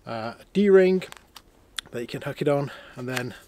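A man with an ordinary mid-range voice talks through his camping gear in an easy, unhurried way. He is sitting in a warm canvas tent on a winter night, showing each item to the camera as he speaks. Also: medium pitch, a little hiss or hum. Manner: relaxed, chatty, thinks aloud with fillers. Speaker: outdoor vlogger. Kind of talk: casual gear review and camping vlog.

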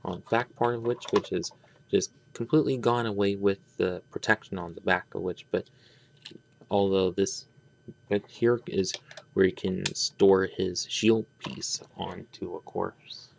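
A man with a low voice full of vocal fry talks through an unscripted hands-on toy review. His delivery is halting and rambling, with phrases that often trail off before they are finished. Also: fairly even intonation, a little hiss or hum. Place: indoors at a tabletop.

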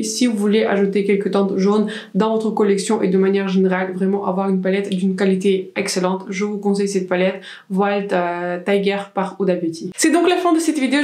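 A young woman reviews products in a relaxed, rambling chat, circling back on herself with fillers and restarts. Her voice sits at a middle pitch and rings a little in an echoey room. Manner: chatty, many restarts and fillers. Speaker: beauty vlogger. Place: small indoor room with plants.

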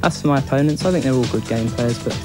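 Cocky, fast-talking young man. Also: medium pitch, over music. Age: 20s. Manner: cocky, quick self-introduction. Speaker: game show contestant. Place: TV studio.

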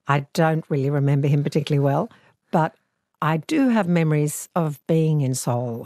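A woman in her sixties with an Australian accent, an actor recalling her family history in a measured, reflective way. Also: sits low, no room sound, studio quality. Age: 60s. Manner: thoughtful, measured, gentle. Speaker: actor and author guest. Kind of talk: reflective interview storytelling. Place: living room, seated on a sofa.

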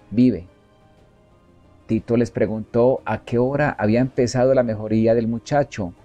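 A man reads a religious text aloud in a slow, solemn, evenly paced way. His voice is mellow and dark at a middle pitch. Faint music plays softly behind him. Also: muffled recording. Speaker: reader. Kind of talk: measured scripted book reading.

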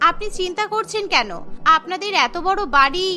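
Woman voicing a high, headstrong young bride. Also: expressive, brisk, sharp tone, soft background music, full character voice. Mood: insistent. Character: ambitious, headstrong young woman, restaurateur's daughter and new bride.

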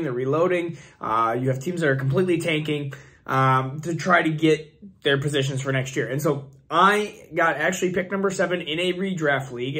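A young man talks in a casual, rambling way, stopping often on fillers as he thinks aloud. His voice is hoarse and worn out, and it sits a little high for a man. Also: fairly bright tone. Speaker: fantasy football channel host. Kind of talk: casual screen-share commentary.

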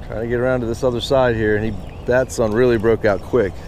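A man's voice: mid-range voice, fairly even intonation, a little fast, darkish tone, a little hiss or hum. An American man in his thirties or forties giving casual, chatty commentary to viewers as a fishing vlogger, recorded outdoors. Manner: chatty, informal, addresses viewers as guys. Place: outdoors beside a pond.

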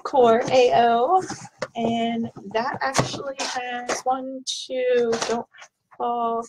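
Slightly hoarse woman chatting at a webcam. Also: a little high, level tone, very slow, noisy background. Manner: chatty, rambling, with frequent ums. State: hoarse from illness. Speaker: product vlogger. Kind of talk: casual product unboxing chat. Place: small home office, indoors. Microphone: webcam at desk.

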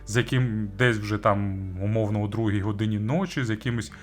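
A man's voice: fairly low pitch, fairly quick. A man giving a conversational product review as host, searching for words and adding informal asides. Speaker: audio gear reviewer and host.

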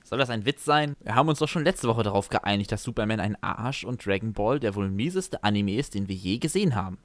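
A man's voice: on the low side, expressive, quick pace, no echo. A man reading scripted first-person comic narration for a fan fiction audio story.

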